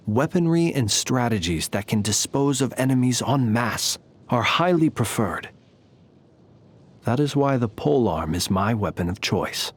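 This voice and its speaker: An actor playing a courtly, ancient inhuman gentleman soldier in a video game, speaking in a polite, formal, measured and wryly understated manner. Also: mid-range voice. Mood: formal, courteous. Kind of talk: scripted game character voice lines.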